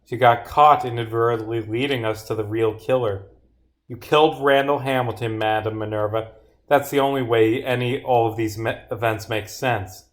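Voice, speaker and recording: An earnest detective lays out an accusation , read by a man. The voice is medium in pitch, with solemn, deliberate, dramatic delivery, as in a visual novel game. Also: steady tone, natural voice. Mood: accusing.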